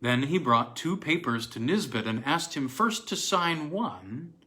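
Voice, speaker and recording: A man reading a literary story aloud as narrator, in an expressive storytelling style. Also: on the high side, a little slow. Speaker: narrator, reader.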